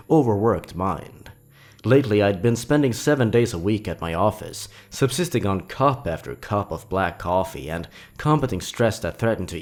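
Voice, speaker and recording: A man's voice: fairly low pitch, a little mellow. A man narrating scripted horror stories in a suspenseful, theatrical manner.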